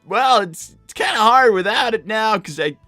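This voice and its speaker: Flustered, bright-voiced young boy fox, acted out. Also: mid-range voice, animated, normal speed, no room sound, faint music behind. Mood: nervous, awkward. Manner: stammering, flustered. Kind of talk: improvised character roleplay on a livestream.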